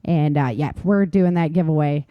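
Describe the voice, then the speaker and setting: A woman with a slightly low voice chats casually as a show co-host. She sounds enthusiastic and informal, and the recording is close and dry. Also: moderate pace, clean tone, no echo, highs cut off. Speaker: podcast co-host and novelist. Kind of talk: casual chat.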